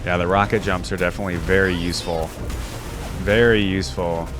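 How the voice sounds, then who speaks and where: A man's voice: deep voice, fairly even intonation, brisk, over music. A young man giving casual reaction commentary as a video host, musing aloud in an informal way.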